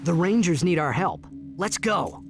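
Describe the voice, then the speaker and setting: Plucky, lively young hero. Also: male voice, fairly high pitch, unhurried, music in the background. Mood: concerned. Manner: colloquial, wry, boyish. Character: casual, plucky young male space-adventure hero. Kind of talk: cartoonish video game acting.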